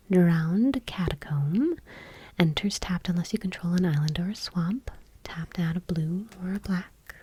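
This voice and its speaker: A woman speaks in a very breathy, hushed whisper with a soft, dark tone. She reads item by item in ASMR style, gentle and unhurried, keeping her voice on the low side. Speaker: ASMR video host. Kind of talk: soft-spoken ASMR, whispered card reading.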